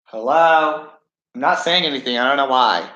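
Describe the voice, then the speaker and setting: A man's voice: mid-range voice, moderate pace, sharp tone, dull audio. A nineteen-year-old man rambling through a casual reaction vlog at home, musing and questioning himself as he talks. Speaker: vlogger.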